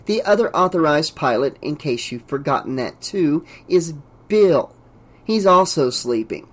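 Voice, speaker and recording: A man's voice: on the high side, expressive, a little slow. A man voicing a tough, dismissive female crew member with a sarcastic, curt manner in an audiobook story.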